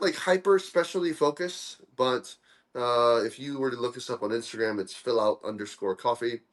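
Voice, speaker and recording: A young man from Korea, a guest in a casual interview, talking in a relaxed, friendly way with lots of "uh" fillers. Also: fairly low pitch. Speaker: guest, coffee dripper co-founder.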